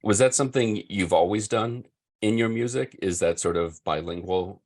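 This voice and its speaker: A man's voice: lowish voice, moderate pace. A man hosting a podcast interview, easygoing and rambling, with ums and light teasing. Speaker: podcast host. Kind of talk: casual interview chat.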